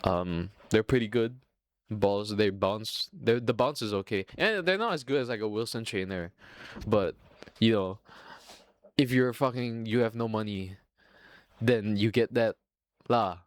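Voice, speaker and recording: A man's voice: lowish voice, a little slow, pauses often, no room sound, clean studio sound. A young man from Singapore with a Filipino accent, rambling casually and swearing freely as the host of a solo podcast. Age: young adult. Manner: profane, rambling, self-mocking.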